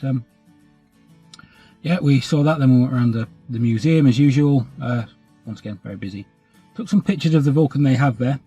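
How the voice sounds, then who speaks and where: A man's voice: mid-range voice, mellow, slightly rough. A British man with a cold, chatting casually about his scale model hobby in a rambling, self-deprecating way. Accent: British English. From England. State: has a cold, slightly stuffy. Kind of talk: casual update chat. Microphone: camera mic.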